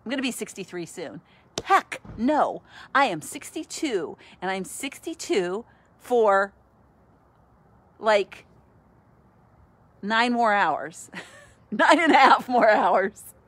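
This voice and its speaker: A woman's voice: medium pitch, expressive, slow, pauses often, fairly bright tone, slightly rough. A woman in her sixties, a podcast host, chatting casually and cheerfully into a phone at arm's length on a sunny outdoor patio, laughing often. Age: 60s. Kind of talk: casual selfie video chat.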